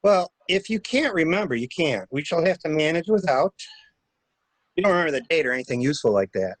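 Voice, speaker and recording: Man acting a brisk, cool-headed gentleman schemer. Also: a little high, very animated, no echo. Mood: brisk, calculating. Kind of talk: amateur dramatic reading of a murder mystery.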